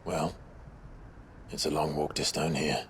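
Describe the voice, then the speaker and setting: An actor playing a brooding, resolute warrior in a video game cutscene, speaking in a hushed, breathy, grave and measured way. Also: male voice, very deep voice, level tone, slight background noise. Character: brooding, resolute warrior, elder brother, weary and earnest. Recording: orchestral game music behind.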